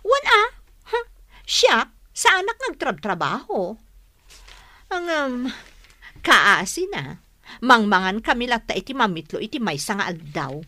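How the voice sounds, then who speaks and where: A poor, gossiping woman in a radio drama, defensive and quick to complain. Her voice is bright and somewhat high, recorded close and dry. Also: very animated, dry recording, heavily acted.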